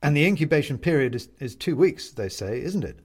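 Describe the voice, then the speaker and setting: Male reader as flustered man, awkward, hesitant, animated, slightly husky, storybook character. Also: fairly high pitch, brisk, pitched above the performer's own voice. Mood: awkward, eager. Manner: hesitant, stammering. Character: flustered, awkward, newly softened man, Benedict Nail.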